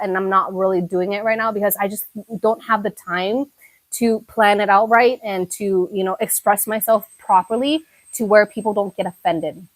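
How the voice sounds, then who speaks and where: A woman's voice: medium pitch, fairly bright tone, no room sound, poor audio. A young woman from Thailand chatting casually on a live stream, answering her viewers' comments and often repeating herself. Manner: chatty, repeats words, many fillers. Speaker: YouTuber reading viewer chat.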